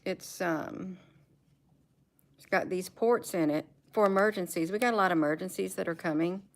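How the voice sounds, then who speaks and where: A woman's voice: lowish voice, normal speed. An American woman chatting casually and in a rambling way at home, repeating words and phrases for emphasis. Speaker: vlogger. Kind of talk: casual rambling chat, showing gadgets. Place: indoors at home.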